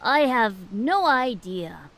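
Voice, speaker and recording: A woman's voice: fairly high pitch, very animated, slow pace, fairly bright tone, full character voice. An actress playing a polite, inquisitive older halfling merchant woman who muses as she talks, in a fantasy audio drama. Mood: businesslike.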